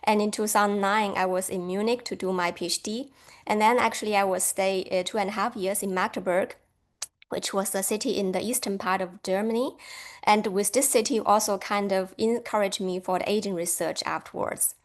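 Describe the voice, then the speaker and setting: A woman's voice: mid-range voice, steady tone, a little fast. A woman from China giving a friendly academic lecture over a video call, laughing lightly now and then.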